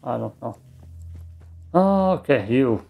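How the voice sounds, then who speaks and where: A man giving casual, low-key gameplay commentary in muttered asides. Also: lively intonation, takes it slowly, gravelly, dull audio. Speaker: gamer doing a let's play.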